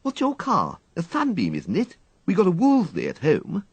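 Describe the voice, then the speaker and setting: A man's voice: sits high, wildly swooping pitch, normal speed, husky, no echo, voice pushed up, full character voice. A man voicing a twelve-year-old English schoolgirl in a storybook, cheeky and gushing in her chatter. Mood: chatty.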